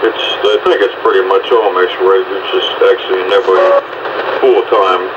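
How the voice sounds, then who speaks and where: Male, casual rambling talker, dull lo-fi audio with clear background noise. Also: low-pitched, fairly even intonation, normal speed, distant mic. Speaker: dog rescue volunteer chatting on air. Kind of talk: casual chat.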